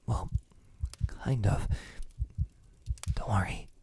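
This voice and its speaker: A man playing a centuries-old vampire, lovesick and possessive, in a soft, intimate ASMR roleplay with halting, tender delivery that often drops to a whisper. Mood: tender, reassuring. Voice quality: breathy, soft, husky. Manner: slow, hesitant, halting, tender.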